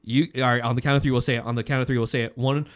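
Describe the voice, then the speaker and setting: A man's voice: mid-range voice, fairly even intonation, racing. A man chatting casually on a podcast, telling stories with slangy swearing and acting out bits of dialogue. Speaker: podcast host and comedy show runner. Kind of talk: casual podcast chat, animated storytelling.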